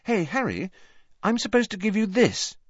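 Storybook teenage schoolgirl, done by a man, breezy, offhand, self-assured, high pitch. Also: very animated, normal speed, slightly rough, no room sound, highs cut off, full character voice.

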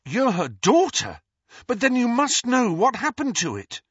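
A man's voice: high voice, moderate pace, sharp tone, breathy edge, dry recording, lo-fi sound, pitched above the performer's own voice, heavily acted. A man voicing a desperate teenage boy student in an audiobook, urgent, fierce and pleading. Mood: startled. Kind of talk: audiobook character voice.

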